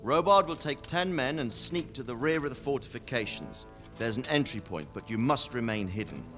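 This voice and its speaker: A man plays a steady medieval lord in a game drama. He speaks at a middling pitch with formal, commanding composure, and music sounds clearly behind him. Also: normal speed, natural voice. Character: steady medieval noble commander and proud father. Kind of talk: dramatic video game cutscene acting.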